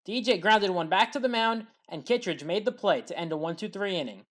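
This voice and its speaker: A woman narrates a sports highlights recap in a medium-pitched voice. She works through the plays in order as a scripted commentary, reporting each one in turn. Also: animated, a little fast.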